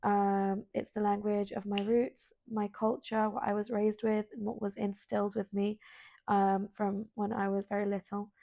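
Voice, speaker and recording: A woman born in London with Spanish as her first language, hosting a solo spiritual podcast in a soft, hesitant, earnest way with many ums. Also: mid-range voice, flat delivery, normal speed, vocal fry, no room sound. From Colombian, born in London. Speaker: podcast host. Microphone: self-filmed at home.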